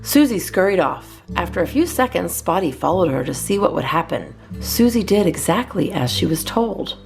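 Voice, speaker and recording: A woman narrating a children's picture book as a storyteller, in an expressive scripted reading. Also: fairly low pitch, moderate pace, gravelly, faint music behind. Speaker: children's storyteller, channel host.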